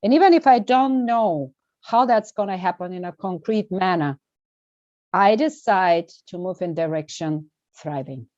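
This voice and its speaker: A woman with a Bulgarian accent, a guest coach answering in an interview, speaking earnestly and deliberately with emphatic pauses. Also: lowish voice, expressive, darkish tone, dry recording, muffled recording. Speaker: guest, well-being coach. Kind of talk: interview conversation.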